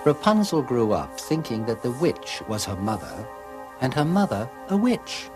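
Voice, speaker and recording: A man narrating a scripted fairy tale as a storybook narrator, measured and expressive. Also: medium pitch, over music. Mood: storytelling.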